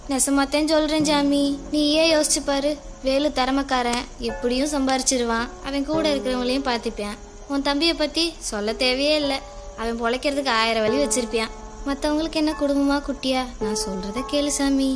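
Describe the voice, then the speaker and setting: A bitter, desperate wife, played by a woman, who coaxes her husband into betrayal. Her voice sits high and carries hard edges. Film music plays clearly under the scene. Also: steady tone, fairly quick, lightly acted. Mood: persuasive. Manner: pleading then coldly persuasive. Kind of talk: Tamil film dialogue. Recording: film audio with music behind.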